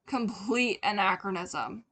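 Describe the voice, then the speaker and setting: A woman's voice: mid-range voice, takes it slowly, pushed and bright, slightly rough, heavily acted. A woman voicing a proud, enthusiastic father in a story, a scholar who explains his finds with showy, jovial manner.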